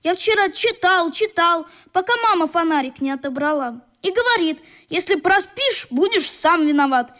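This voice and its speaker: A woman's voice: very high voice, animated, moderate pace, few pauses, fairly bright tone. A woman playing a guilty, sheepish young schoolboy in a radio play, hesitant and apologetic.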